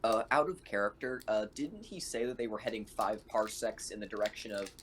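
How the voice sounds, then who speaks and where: A man with a fairly low voice plays a tabletop game, casually narrating and speaking for his character. His delivery is halting and conversational, over background noise with keyboard clicks. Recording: noisy room with keyboard clicks.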